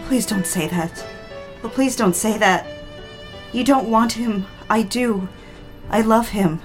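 A woman's voice: fairly high pitch, slow. A woman playing a tormented, pleading wife haunted by voices in an audio drama. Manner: anxious, pleading, repeats herself. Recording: music under the voice.

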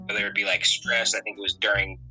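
A man in his thirties from Connecticut, talking in an easygoing, meandering way, full of little fillers and restarts. His voice is very bright and sits a bit low, with faint music underneath. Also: fast, poor audio. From Connecticut, USA. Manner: relaxed, rambling, many fillers. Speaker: interview guest, musician and photographer. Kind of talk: casual conversational storytelling.

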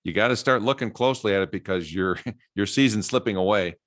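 American guy, kinda low voice, chill agreeable cohost on a casual sports talk thing. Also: fairly quick, a bit bright, no room sound, clean studio sound.

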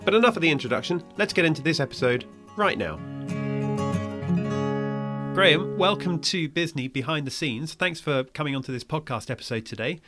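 A man's voice: on the high side, expressive, a little fast, over loud music. A man hosting a school podcast, opening with a scripted introduction and then asking polite questions of his guest. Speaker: podcast host.

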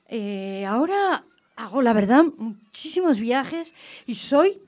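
A woman's voice: fairly high pitch, big pitch swings, slow, a little mellow, heavily acted. A woman playing a chatty, active woman of eighty-two with a Spanish accent, a hesitant quiz caller in a radio play. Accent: Spain. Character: chatty, active 82-year-old retired nurse, nervous quiz caller. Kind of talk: radio theatre phone-in dialogue.

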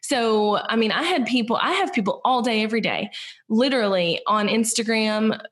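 A woman's voice: fairly high pitch, moderate pace, a bit bright. A woman in her thirties with a Southern US accent, chatting as a guest in an interview, rambling with lots of "like" and "you know". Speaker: podcast guest, business owner. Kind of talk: casual conversational interview.

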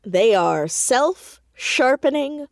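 A woman chatting casually as an interview guest, with an animated, emphatic, jokey and sometimes sweary way of talking. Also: high voice, extremely slow, no room sound, clean studio sound. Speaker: podcast guest, audio drama creator and writer.

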